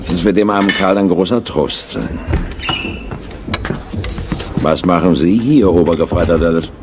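An actor playing a weary, cynical army field surgeon in a radio drama, gruff and brusque. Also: male voice, low-pitched, measured pace, background noise. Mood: grim sarcasm.

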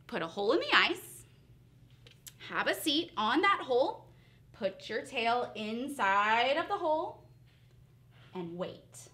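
A woman's voice: on the high side, sing-song, takes it slowly, pauses often, bright, forceful tone, full character voice. A woman telling a children's story, voicing a sly, playful male fox with a coaxing, instructing manner.

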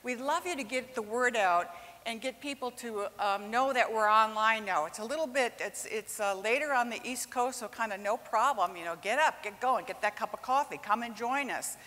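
A woman's voice: a little high, moderate pace, bright, forceful tone. A woman in her sixties hosting a live event on stage, upbeat and chatty, speaking into a handheld mic. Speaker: host. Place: large indoor stage. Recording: stage mic in a big hall.

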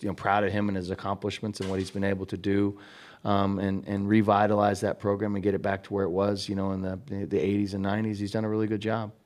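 A middle-aged man, a head coach, answering press questions at a postgame press conference in halting sentences full of restarts, into a table mic. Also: low voice, a little mellow. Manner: halting, many restarts and fillers.

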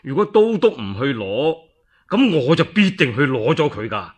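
A man voicing a courteous, cautious middle-aged lord in Cantonese, soft-spoken and earnest. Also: medium pitch, big pitch swings, normal speed, slightly rough. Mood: courteous, sly.

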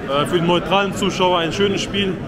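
A young man vlogging on a phone held at arm's length, chatty and excited, switching between German and Turkish while he interviews football fans. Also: high voice, a little fast. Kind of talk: casual vlog with street interviews. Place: crowded football stadium and city streets at night. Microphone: phone camera at arm's length. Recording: loud crowd and music behind. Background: chanting crowd and loud music.

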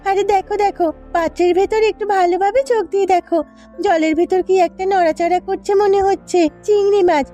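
A woman's voice: very high-pitched, fairly even intonation, a little fast, mellow. A woman voicing an optimistic, bossy village wife in a cartoon, speaking in a coaxing, emphatic way over music. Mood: reassuring, urgent. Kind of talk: Bengali cartoon dubbing. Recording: music under the voice.

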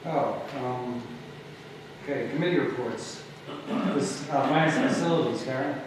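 A man chairing a public board meeting, brisk as he moves through the agenda. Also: medium pitch. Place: large public meeting room. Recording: echoey hall from far off, background noise.

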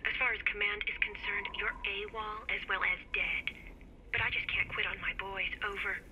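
Through a noisy radio link comes a female army dispatcher in a game. She is brisk and businesslike, with a medium pitch and terse procedural phrasing. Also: flat delivery. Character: brisk female military radio operator, secretly helpful. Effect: radio filter. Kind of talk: video game dialogue.

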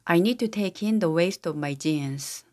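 A synthetic woman's voice reading English practice sentences for a language lesson, in an even, steady delivery. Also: fairly low pitch, normal speed. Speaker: language teacher voice. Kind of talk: scripted English drill sentences.

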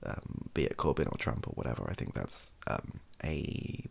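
A British man talks in an extremely low voice, heavy with fry. He speaks softly and hesitantly, rambling and rephrasing as he goes, with a husky edge to the sound. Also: expressive. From United Kingdom. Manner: hesitant, halting, self-correcting. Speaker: ASMR video creator. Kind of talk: softly spoken improvised rambling talk. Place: small indoor home room.

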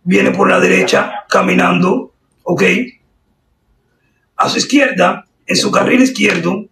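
A woman with a Cuban accent making a prank phone call, posing as an examiner in a deadpan, official-sounding manner. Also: mid-range voice, slow, vocal fry. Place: indoors at a desk. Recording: roomy, distant sound with faint noise.

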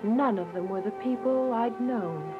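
A woman's voice: fairly high pitch, a little slow, natural voice. A woman playing a devout, earnest young believer, narrating with emotion in a radio drama. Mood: grave, hurt. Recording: muffled audio with music bed.

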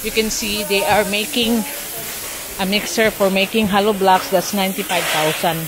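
A woman with a Filipino accent giving a casual, halting walk-through narration as a vlogger, recorded on a handheld camera in an open-sided factory. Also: medium pitch, fairly even intonation. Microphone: handheld camera microphone. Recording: noisy industrial workshop, loud ambient clatter.

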